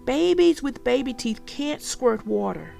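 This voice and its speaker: A woman voicing a brash, boastful schoolgirl in a storybook, loud and taunting. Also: sits high, big pitch swings, a little slow, creaky, faint music behind, exaggerated voice. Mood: showing off.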